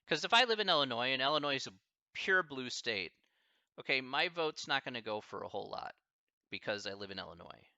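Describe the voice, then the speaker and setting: A man's voice: mid-range voice, sing-song, a little fast, creaky, bright, forceful tone, no echo, highs cut off. A man with a Midwestern American accent, a math instructor chatting casually with his online class, thinking aloud with lots of fillers.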